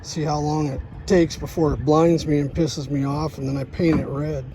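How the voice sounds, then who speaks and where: Middle-aged man, slightly high gravelly voice, halting casual DIY vlog, plain-spoken, noisy vehicle ambience. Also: level tone. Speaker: truck driver, DIY vlogger.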